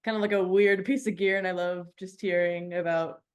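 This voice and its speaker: Lower-pitched woman, casual radio interviewer. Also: fairly even intonation, a little fast, smooth, dull audio.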